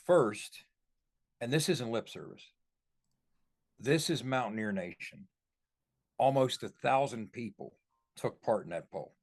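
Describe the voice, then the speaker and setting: A man's voice: medium pitch, lively intonation, unhurried, long pauses, darkish tone. A man co-hosting a sports podcast, giving conversational analysis and repeating points for emphasis. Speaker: podcast co-host.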